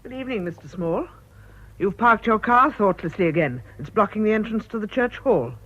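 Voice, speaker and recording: A woman's voice: mid-range voice, expressive. An actress playing a composed, resolute clergyman's wife in a British radio drama, speaking politely but firmly. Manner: measured, polite but firm. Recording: muffled radio drama recording, loud noise.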